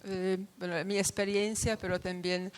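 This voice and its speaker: A woman gives a conference talk in a language that is not her first. She hesitates often, restarting phrases and filling gaps with "eh", at a middling pitch for a woman. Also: level tone, normal speed, clear, resonant tone. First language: Polish. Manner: hesitant, many fillers and restarts. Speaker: conference speaker. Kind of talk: academic talk at a panel.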